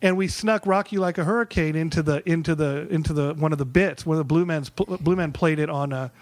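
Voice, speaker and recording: 40ish guy with a pretty high voice telling band stories, rambly and excited, dry mic. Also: brisk, dry recording. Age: 40s. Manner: rambling, enthusiastic, repeats words as he restarts. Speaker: musician guest. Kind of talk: casual storytelling.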